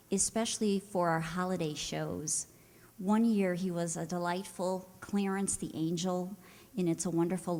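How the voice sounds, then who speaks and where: A woman introduces a stage show as its host. Her voice sits a little low, and she speaks in a measured, conversational public style. The sound carries the long reverb of a hall. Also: a little mellow. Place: live performance hall before an audience.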